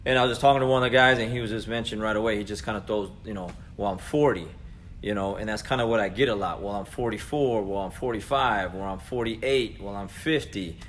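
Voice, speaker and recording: A man's voice: on the low side, fast, fairly bright tone. An American man in his forties giving a casual motivational talk as a coach, conversational and earnest, speaking directly to his listeners. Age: 40s. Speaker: jiu-jitsu coach and vlog host. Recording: clearly noisy background ambience.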